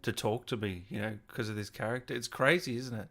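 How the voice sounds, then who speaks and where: A man's voice: mid-range voice, fairly quick, creaky, sharp tone. A man hosting an interview in a casual, informal way, chatting and reading out viewer questions. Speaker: interview host.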